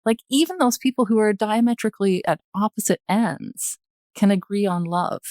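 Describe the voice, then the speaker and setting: A woman's voice: mid-range voice, normal speed, a little mellow, no room sound, clean studio sound. An older woman in a reflective podcast discussion, speaking emphatically in searching phrases and often checking in with "right?". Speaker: podcast guest, retired journalist.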